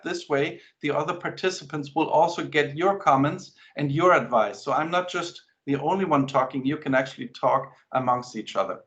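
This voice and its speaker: A man's voice: fairly high pitch, a bit bright. A middle-aged man from Denmark, a native speaker of Danish and German, giving a conversational webinar presentation as an export sales trainer over a video call. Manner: conversational, stop-start explaining. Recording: slightly muffled webinar audio.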